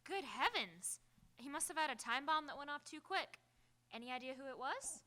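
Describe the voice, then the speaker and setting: Woman as police commissioner, high sharp voice, skeptical, brisk, emphatic radio drama delivery. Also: animated. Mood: resigned, wry. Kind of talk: live radio drama acting. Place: auditorium stage, live radio theatre.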